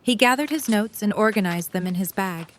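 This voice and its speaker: A woman's voice: mid-range voice, animated, fairly quick, a little hiss or hum. A woman reading scripted story narration for an audio drama.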